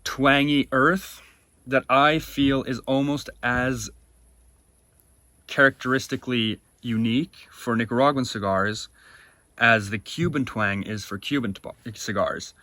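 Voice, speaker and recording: Bright-voiced man reviewing cigars. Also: medium pitch, unhurried, no echo. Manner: enthusiastic, talks through flavor notes in detail. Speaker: co-host of a cigar review show. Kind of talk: casual review chat.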